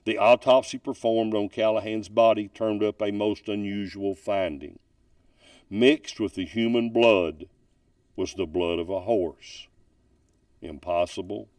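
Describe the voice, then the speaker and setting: A man reading a ghost story aloud from a book as a storyteller, with deliberate, dramatic emphasis. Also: on the low side, fairly even intonation, a little mellow, dry recording, studio quality, far from the mic. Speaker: narrator reading ghost stories.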